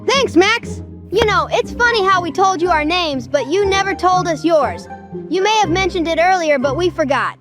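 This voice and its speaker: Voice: very high-pitched, expressive, moderate pace, loud music behind the voice. An actor voicing an upbeat, polite and talkative child hero in a cartoon comic dub. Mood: chatty. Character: chatty, upbeat, polite plucky kid hero.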